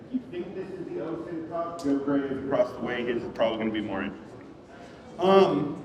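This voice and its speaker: A man giving a casual, self-deprecating conference talk, with lots of ums and restarts, in a room at a wedding venue. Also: fairly high pitch. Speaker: conference speaker. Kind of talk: casual rambling tech talk. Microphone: room mic heard from a distance. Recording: echoey hall, distant, muffled.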